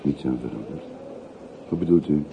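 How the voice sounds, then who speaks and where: An actor playing an earnest railway porter in a radio play, formal and deferential yet full of probing questions. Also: male voice, rumbling low, moderate pace, gravelly. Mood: puzzled, obliging. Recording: muffled phone-like old radio recording, faint hiss.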